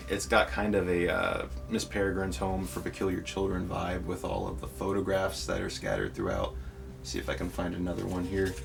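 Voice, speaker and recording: A man's voice: low voice, vocal fry. A young man with a congested, slightly nasal voice, chatting enthusiastically in a casual book vlog. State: congested, stuffy nose. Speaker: book vlogger.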